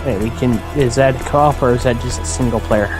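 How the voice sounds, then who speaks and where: A man's voice: medium pitch, steady tone, a little fast. A man chatting casually and jokingly as co-commentator on a video game. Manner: jokey. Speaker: let's play co-commentator. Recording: game music behind voice. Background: video game music.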